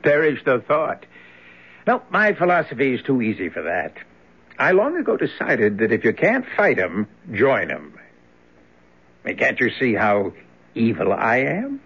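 A sly menacing young man in an old radio play, husky, swoops around a lot, muffled lo-fi. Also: mid-range voice, huge pitch swings, a little slow, a bit bright, heavily acted. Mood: sly, mocking. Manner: theatrical, teasing, insinuating. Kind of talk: old-time radio drama acting.

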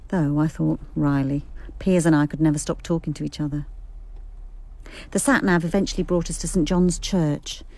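Wry reflective detective woman narrating a radio mystery, low voice, dry studio sound. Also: mellow, no echo.

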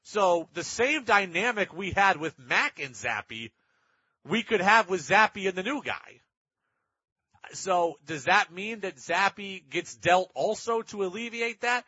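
A man's voice: sits high, expressive, slow pace, sharp tone, slightly rough, dry recording, muffled recording. A man hosting sports talk radio, giving opinionated commentary in an emphatic way and repeating points for effect.

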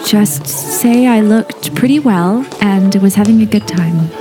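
A woman's voice: medium pitch, measured pace, natural voice. A young woman playing a genteel, earnest teenage girl dressed up for a party in an audio play. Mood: earnest, weary. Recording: music playing behind the voice.

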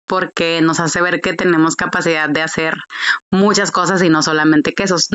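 A woman's voice: on the low side, fairly bright tone, clean tone, muffled recording. A woman, a small business owner telling her story in a casual interview, chatty and full of fillers. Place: Tijuana.

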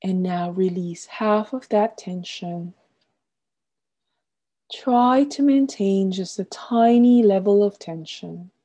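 Slow, very mellow woman guiding relaxation. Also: medium pitch. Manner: soothing, gentle, deliberate instruction with soft trailing phrase endings. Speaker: guided meditation instructor. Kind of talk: guided relaxation meditation, scripted instruction.

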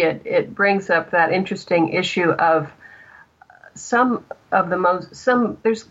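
Woman, deep voice, thoughtful careful phrasing, casual podcast guest, muffled lo-fi recording. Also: a bit bright. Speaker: audio drama creator, podcast guest. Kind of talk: casual interview talk. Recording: dull lo-fi connection.

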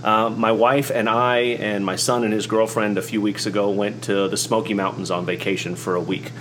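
A middle-aged American man telling a personal story in a reflective monologue, haltingly and with repeated words. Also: lowish voice, little pitch movement, background noise. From United States, born in New York, from Oklahoma. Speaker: host.

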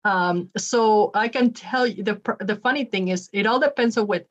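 A woman's voice: mid-range voice, normal speed, lo-fi sound. A woman in her forties from Ecuador, a nonprofit leader chatting as a podcast guest, upbeat with frequent ums and restarts. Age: 40s. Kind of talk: podcast interview.

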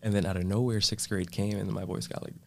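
A man in his twenties speaking as a podcast guest, relaxed and matter-of-fact. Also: lowish voice, quick pace, gravelly. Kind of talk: casual chat.

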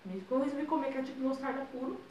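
A young woman, co-hosting a casual chat podcast, blunt and quick to swear. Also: sits high, a little mellow, far from the mic, a little hiss or hum. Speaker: podcast co-host. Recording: roomy, mic set back, faint ambience.

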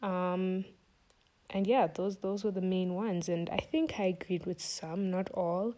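A woman's voice: lowish voice, fairly even intonation, a little slow, mellow. A young woman hosting a podcast, talking casually and thinking aloud in a string of questions. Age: young adult. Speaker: podcast host.

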